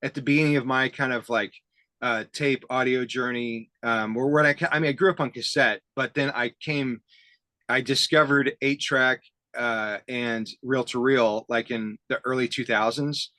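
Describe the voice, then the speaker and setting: A man hosting a casual conversational interview, speaking haltingly with a self-deprecating, enthusiastic manner. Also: medium pitch, steady tone, sharp tone, dry recording. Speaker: interview host. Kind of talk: casual conversational interview about tape gear.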